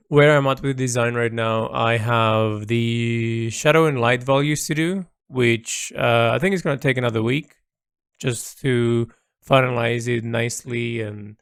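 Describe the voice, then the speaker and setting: Male, creaky low-fry delivery, dry close recording; reflective, halting audio-log narration by an artist. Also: no echo. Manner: hesitant, thinking aloud. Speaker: illustrator keeping an audio log. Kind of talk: reflective unscripted audio diary.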